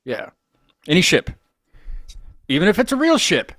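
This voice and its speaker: Playful male host, slightly high voice. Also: huge pitch swings, moderate pace, a bit bright. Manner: playful, joking. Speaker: show host. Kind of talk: casual interview chat.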